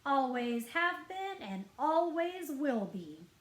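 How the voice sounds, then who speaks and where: A woman's voice: high voice, big pitch swings, slow pace, a little hiss or hum, full character voice. Woman voicing a smug, sneering older brother. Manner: sneering, taunting. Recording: roomy, echoing indoor sound.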